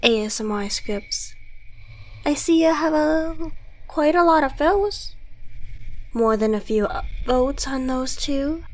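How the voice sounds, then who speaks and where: A woman's voice: sits high, animated, takes it slowly, exaggerated voice. A woman playing an ancient devil in a scripted ASMR roleplay, theatrical, articulate and condescending, mockingly friendly with menace underneath. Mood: smug, mocking. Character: suave, gloating devil, centuries old, mockingly friendly, menacing.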